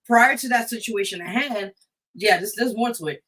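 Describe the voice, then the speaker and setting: A woman's voice: medium pitch, normal speed, a bit bright. A woman hosting a casual live talk show, speaking in an emphatic, blunt way. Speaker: radio show host.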